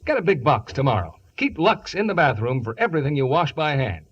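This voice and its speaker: A man's voice: fairly high pitch, big pitch swings, brisk, husky. A man announcing scripted 1950s radio sponsor copy. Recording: dull old radio broadcast recording.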